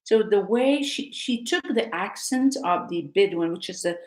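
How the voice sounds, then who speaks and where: A woman's voice: mid-range voice, animated, moderate pace, darkish tone. Woman teaching live, lots of ums and restarts, roomy echo. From North Africa. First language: Arabic. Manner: hesitant, many fillers and restarts. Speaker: Arabic teacher. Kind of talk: casual live talk.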